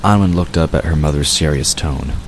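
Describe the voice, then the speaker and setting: A male narrator with an exceptionally deep voice reads a scripted fantasy story. Nature sound effects run clearly behind him. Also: a little fast, slight background noise.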